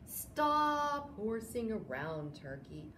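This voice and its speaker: A woman's voice: fairly high pitch, big pitch swings, drawn-out words, bright, forceful tone, full character voice. Teasing farm animal for a picture book, woman reader: curt, swooping delivery, noisy room. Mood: teasing, scolding. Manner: animal calls and short scolding remarks. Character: blunt farm animals teasing a disguised friend. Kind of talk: children's picture-book read-aloud. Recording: roomy echo, clear background noise.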